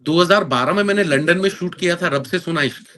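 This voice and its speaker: A man's voice: high-pitched, fast talker, fairly bright tone, a little hiss or hum. A man in his thirties who is an actor, answering interview questions earnestly with an Indian accent, mixing in English phrases and using many fillers. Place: lives in London.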